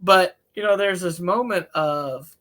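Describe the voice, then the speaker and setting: Relaxed, folksy male counselor, slightly high. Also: a little slow. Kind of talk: casual podcast-style discussion.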